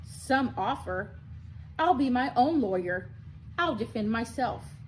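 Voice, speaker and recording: A woman's voice: a little high, expressive, takes it slowly, poor audio. Schoolboy, voiced by a woman, indignant, cocky, storybook read-aloud, faint noise behind. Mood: defiant. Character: indignant, cocky schoolboy who argues and complains.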